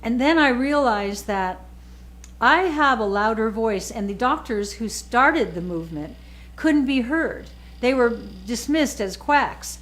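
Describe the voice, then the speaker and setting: A woman's voice: on the high side, lively intonation, measured pace, fairly bright tone. A woman in her sixties, an author, talking casually and emphatically, often repeating words as she makes her points. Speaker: author and guest. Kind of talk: casual chat.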